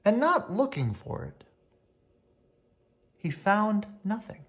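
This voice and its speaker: A storybook reader giving an expressive bedtime read-aloud in a theatrical, playful way with pauses. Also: mid-range voice.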